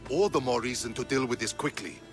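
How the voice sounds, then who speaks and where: A man playing a shrewd, earnest Spartan officer in a video game cutscene, deliberate and persuasive. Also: medium pitch, faint music behind, natural voice. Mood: urgent, persuasive.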